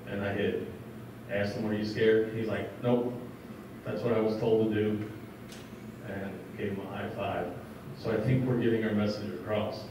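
A man's voice: lowish voice, level tone, moderate pace, pauses often. A man who is a police chief speaks to a community meeting in a large school room, talking in a casual, plainspoken way. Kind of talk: public meeting talk, telling an anecdote. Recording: echoey, distant, dull, with background noise.

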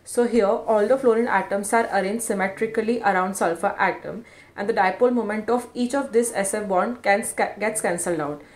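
A woman's voice: mid-range voice, brisk. A woman presenting a scripted explainer in a plain, instructional, step-by-step manner. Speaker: educational video presenter.

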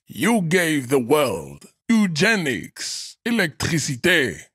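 A man's voice: mid-range voice, husky, no room sound, studio quality, heavily acted. A man playing a towering, pompous, contemptuous racist strongman in a video game, declaiming his pseudo-science grandly. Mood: grand, lecturing. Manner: pompous, slow declamatory delivery. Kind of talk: video game character acting.

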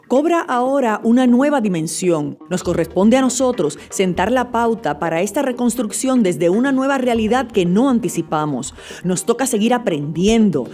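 A woman's voice: mid-range voice, a little mellow. A woman reading a scripted, formal narration. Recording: faint music behind the voice.

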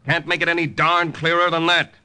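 An actor playing a composed, proper-spoken American army lieutenant held captive, in old-time radio drama style with narration. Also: male voice, on the high side, level tone, brisk, very noisy, close to an everyday voice. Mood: irritated. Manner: precise, proper speech. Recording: old radio recording with music.

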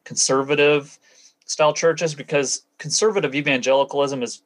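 A man's voice: on the high side, steady tone, moderate pace. A man being interviewed as a guest, recounting and explaining things in a matter-of-fact, conversational way. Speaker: interview guest, former church member.

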